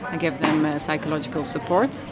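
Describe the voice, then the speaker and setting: Lowish-voiced Dutch woman, crowd behind. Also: fairly even intonation, fairly quick. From Netherlands. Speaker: interview guest, yoga teacher. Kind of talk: casual interview answers.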